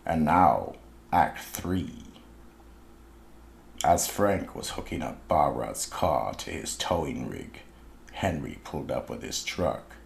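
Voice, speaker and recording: A man's voice: very deep voice, animated, takes it slowly, vocal fry. A man reading scripted narration for a radio mystery drama.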